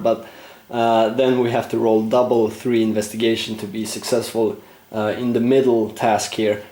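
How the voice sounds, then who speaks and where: A man narrating a board game play-through, explaining rules and thinking aloud with frequent fillers, his voice going slightly hoarse. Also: medium pitch, mellow, roomy sound. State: losing his voice, clearing throat. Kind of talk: casual gameplay commentary.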